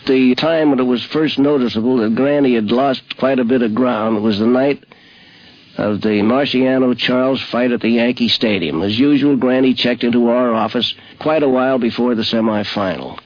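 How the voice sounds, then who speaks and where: A man's voice: mid-range voice, moderate pace. A man recalling a colleague in a plain spoken anecdote on a 1950s radio program. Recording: dry, dull 1950s radio recording.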